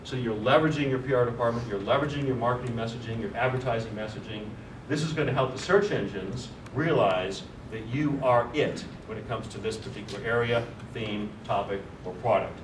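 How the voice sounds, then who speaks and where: A man giving a brisk conference talk on a panel, explaining points one after another. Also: mid-range voice. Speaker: panel speaker. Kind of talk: conference presentation. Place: large office meeting room. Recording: echoey room, distant mic, noisy.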